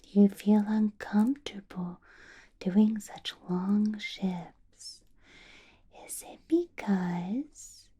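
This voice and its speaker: A woman playing a doting, possessive vampire boss in an ASMR roleplay, coaxing and questioning in a breathy, soft whisper with lingering hums. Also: medium pitch, heavily acted. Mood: probing, possessive. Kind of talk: ASMR roleplay, personal attention.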